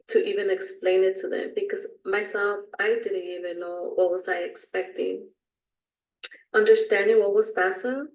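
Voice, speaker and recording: Uma mulher de quase trinta anos, cujo inglês não é a primeira língua, lendo com cuidado sua história pessoal. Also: female voice, medium pitch. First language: not English. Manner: careful, reads from notes, small slips. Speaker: student presenter. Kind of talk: reading a personal story. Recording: roomy and distant mic.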